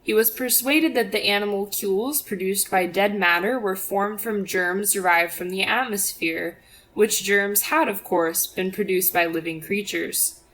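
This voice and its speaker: A woman's voice: medium pitch, unhurried, a bit bright, a little hiss or hum, poor audio. A woman reading a religious apologetics audiobook as scripted narration, in a formal, expository manner. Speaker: audiobook reader.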